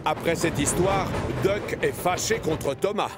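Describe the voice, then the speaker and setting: A man's voice: a little high, a little slow, over loud music. A man narrating a children's cartoon as a storyteller, reading a scripted tale.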